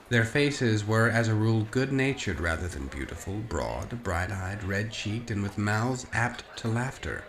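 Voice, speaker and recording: Male narrator, low register, expressive storybook reading, roomy echo with music underneath. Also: a little hiss or hum. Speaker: audiobook narrator. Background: orchestral music.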